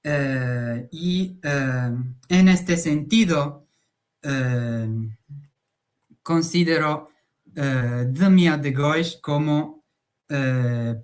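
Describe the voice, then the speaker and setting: Slow, hesitant male academic speaker. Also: fairly high pitch. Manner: slow, hesitant, frequent "eh" fillers. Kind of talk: conference talk.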